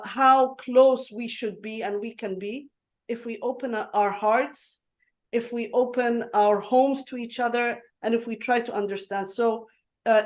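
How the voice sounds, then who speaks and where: A woman from Yemen speaks over a video call. Her voice sits a little high, and her delivery is earnest but halting, full of fillers and restarts as she gathers her thoughts. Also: a little mellow. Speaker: conference speaker. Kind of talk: online conference talk. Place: home in Dubai.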